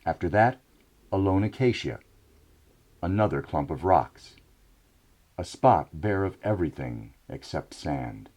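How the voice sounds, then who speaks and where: A low-pitched, slightly husky man reads a story in a South Sudanese Dinka accent. His dramatic narration is expressive and wide-ranging. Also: unhurried, long pauses. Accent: South Sudanese, Dinka (coached). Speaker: audiobook narrator and actor. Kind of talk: dramatic scripted audiobook narration.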